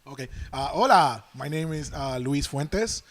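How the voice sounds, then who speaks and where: A man, a stand-up comedian telling a story in halting bits full of fillers during a casual panel chat. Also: fairly high pitch, animated, a bit bright, slight background noise. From Puerto Rico. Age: 30s.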